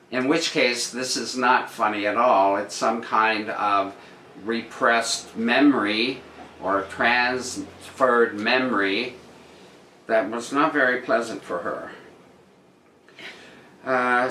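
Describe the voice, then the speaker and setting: A man reading articles aloud and commenting on them casually, with dry, deadpan asides. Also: mid-range voice. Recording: roomy echo with noticeable background noise.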